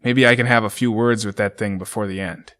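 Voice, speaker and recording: A haunted, doomed ranger, played by a man, reads his final journal entries in a low voice. His tone is grim and measured throughout. It sounds like an audio drama reading. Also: fairly even intonation, fairly bright tone, close to an everyday voice. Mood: resigned.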